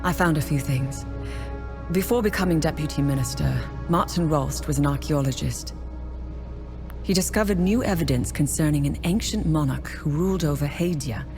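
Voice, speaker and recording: An actress playing a composed, dutiful journalist ally in a video game, with loud music behind her. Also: low-pitched, normal speed. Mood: matter-of-fact. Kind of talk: video game dialogue, briefing.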